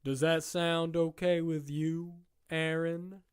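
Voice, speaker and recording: A sinister warden of a prison circus, played by an actor, speaking at a middling pitch with a theatrical drawl. The delivery is glib and folksy, full of smug mock politeness, in the style of a scripted audio drama. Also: level tone, slow pace, clear, resonant tone, close to an everyday voice. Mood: mock-polite.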